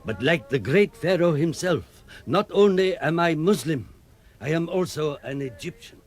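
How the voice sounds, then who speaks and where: An actor playing a proud, resolute Egyptian police inspector in a radio drama, speaking with formal, solemn phrasing. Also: male voice, on the high side, animated, normal speed.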